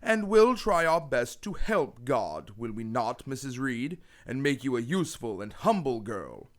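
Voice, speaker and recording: A man playing a stern, pompous middle-aged gentleman who preaches sanctimoniously at a child in a radio play. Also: medium pitch, very animated. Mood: preaching, severe. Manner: pompous, slow deliberate preaching with mock-shocked chuckles.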